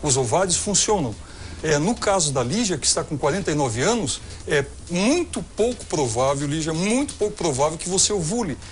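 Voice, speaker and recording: A man's voice: on the high side, very animated, fairly quick, a little hiss or hum. A man with a Brazilian Portuguese accent, a gynecologist answering viewers' questions on a TV health show in a methodical, explanatory way. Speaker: gynecologist guest expert.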